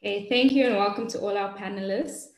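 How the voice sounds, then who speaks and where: A young woman moderating a panel on a video call, politely handing the word to each speaker. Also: mid-range voice. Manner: polite, brisk hand-offs. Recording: echoey room on a video call.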